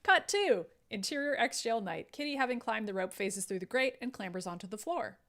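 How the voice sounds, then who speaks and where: Female podcast host on stage directions: playful, wry, expressive, fairly high voice. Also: fairly quick. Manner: playful, sardonic asides. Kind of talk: comic live script table read.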